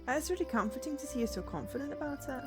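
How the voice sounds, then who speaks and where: A woman voicing a calm, doubtful young man, a devoted sweetheart, in game dialogue. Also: high-pitched, rapid-fire, over loud music, pitched above the performer's own voice. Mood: tender, reassured. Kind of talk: dramatic reading of game dialogue.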